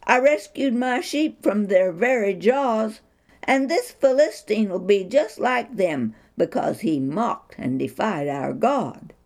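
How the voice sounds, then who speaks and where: A brave young shepherd boy who speaks up earnestly and insists, as a woman storyteller voices him. His lines come at an unhurried pace with a lively, rising and falling pitch. It sounds like a storybook reading.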